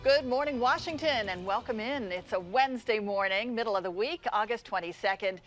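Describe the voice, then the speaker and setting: A woman's voice: on the high side, brisk, faint music behind, low-quality recording. An American woman reading scripted news as a morning television anchor.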